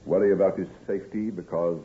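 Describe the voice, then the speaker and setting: A man playing a troubled, weary gentleman doctor in an old-time radio drama, guarded and subdued. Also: deep voice, steady tone, fast, narrow, tinny audio, close to an everyday voice.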